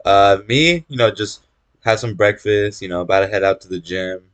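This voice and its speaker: A young man from California making casual conversation, relaxed, with lots of fillers and restarts. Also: fairly low pitch, moderate pace. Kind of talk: casual chat.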